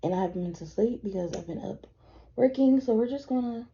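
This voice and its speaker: A tired woman of twenty-one, chatting casually and rambling as she does her makeup at home, her voice a little deeper than usual from tiredness. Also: fairly quick, dark tone, husky, lo-fi sound. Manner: rambling, easily sidetracked, swears casually. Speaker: vlogger. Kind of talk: casual get-ready-with-me chat.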